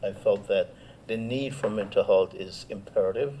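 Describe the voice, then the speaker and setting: A man's voice: fairly low pitch, moderate pace, dark tone. A middle-aged man from Guyana introducing himself as a guest in an interview. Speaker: guest, clinical psychotherapist. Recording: faint background noise.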